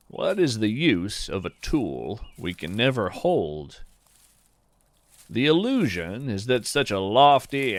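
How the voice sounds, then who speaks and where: A man hosting a podcast, reading his own reflective essay in a measured, musing way that lingers on phrases. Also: lowish voice, dry recording. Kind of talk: slow reflective scripted narration.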